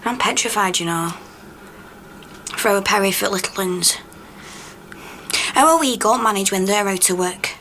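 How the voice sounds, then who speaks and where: An actress playing a cheeky, blunt miner's wife with a Northern English accent in a naturalistic radio drama. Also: female voice, medium pitch, normal speed, long pauses, sharp tone, rough recording. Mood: worried, self-blaming. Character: cheeky, mouthy miner's wife occupying a pit, self-doubting. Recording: clear background noise.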